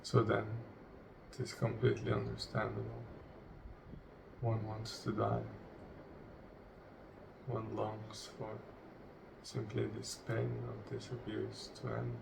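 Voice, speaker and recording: A man leading a guided meditation in a solemn, deliberate way, speaking in short, broken phrases. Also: lowish voice. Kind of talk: guided meditation, spoken slowly and reflectively. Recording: distant mic with clear background noise.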